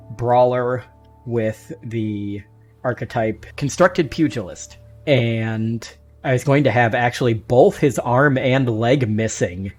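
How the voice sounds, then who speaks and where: A man's voice: medium pitch, darkish tone. A man talking casually as a panelist on a podcast discussion among friends.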